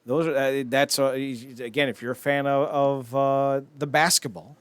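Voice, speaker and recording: A man's voice: medium pitch, steady tone, a little slow, smooth, no room sound. A man co-hosting a podcast in casual chat, informal and slangy, with short, simple opinions. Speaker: podcast co-host.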